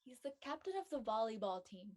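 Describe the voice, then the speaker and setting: A woman's voice: on the high side, very animated, fairly quick, darkish tone. Sensible teenage girl, played by a woman. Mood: reassuring. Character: sensible, reassuring high-school girl, helpful friend. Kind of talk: amateur audio drama table read.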